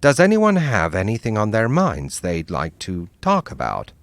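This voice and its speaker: An actor playing a calm male leader of a villains' support group, speaking in a soothing, patient way. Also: on the low side, moderate pace, no echo. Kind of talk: comedy sketch acting.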